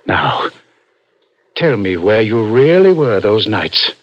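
An actor playing a crafty police interrogator in a 1960s radio drama, coaxing and insinuating with deliberate, halting questions. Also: male voice, fairly low pitch, sing-song, no room sound, exaggerated voice. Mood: confiding, coaxing. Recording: dull vintage radio broadcast recording.

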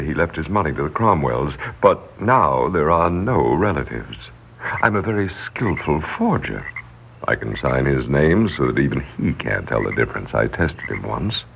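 An actor in a 1960s radio drama playing a scheming, persuasive male servant with an insinuating, deliberate manner. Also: a bass voice, creaky, full character voice. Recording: dull, noisy 1960s radio recording.

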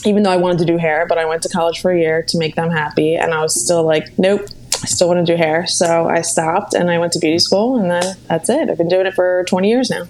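A woman in her late thirties from Pennsylvania, whose first language was Italian, chatting as a guest in a relaxed, friendly way. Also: on the low side, quick pace, slight background noise. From Pennsylvania, USA. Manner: relaxed, friendly, laughs easily. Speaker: hairdresser and guest. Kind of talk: casual interview chat.

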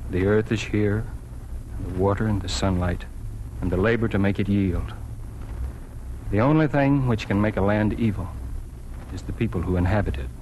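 An actor in a 1940s radio drama playing a dignified, earnest newspaper editor giving a measured public address. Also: male voice, on the low side, a little mellow, close to an everyday voice, rough recording. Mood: solemn. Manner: measured, solemn. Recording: hissy vintage 1940s radio recording.

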